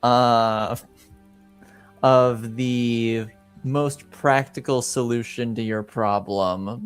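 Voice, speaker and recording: A man with a smooth, clear voice narrates a tabletop roleplaying game as its game master. He takes his time, feeding out the story in halting pieces over background music. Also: mid-range voice.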